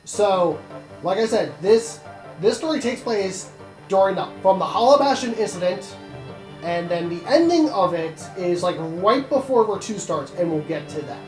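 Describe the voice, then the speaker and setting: A man hosting a let's play, explaining the game in casual, halting commentary. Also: sits high, lively intonation, a little mellow, roomy sound, faint music behind.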